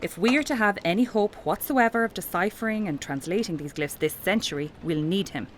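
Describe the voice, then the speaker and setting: A woman's voice: medium pitch, a little fast, slight background noise, close to an everyday voice. A woman playing a brusque, defensive archaeology professor in an audio drama, speaking formally and dismissively. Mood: brisk, businesslike. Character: renowned female archaeology professor, brusque, defensive, obsessed with her find.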